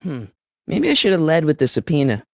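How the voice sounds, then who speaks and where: A man's voice: a little high, wildly swooping pitch, fairly quick, creaky, dry recording, studio quality, full character voice. A man playing an earnest, anxious American teenage boy in a video game, with a hesitant, stammering way of talking to himself. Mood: tentative, musing. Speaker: voice actor. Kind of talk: video game character voice acting.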